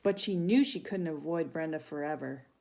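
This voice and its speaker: A woman reading a children's story aloud expressively, pausing to chat with young listeners. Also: low voice, vocal fry, a little mellow. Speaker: volunteer storybook reader for children.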